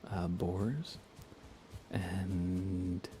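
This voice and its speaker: A man live streaming a game in a casual ASMR style, chatting softly with viewers in a hushed voice over background noise. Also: deep voice, steady tone, extremely slow.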